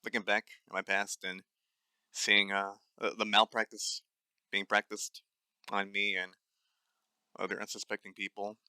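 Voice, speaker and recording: A man's voice: on the low side, level tone, unhurried, long pauses, sharp tone, clean tone, dry recording. Angry young man with a dry voice. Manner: angry, blunt, swears often; many fillers and restarts. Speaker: nutrition podcast host. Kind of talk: solo ranting monologue.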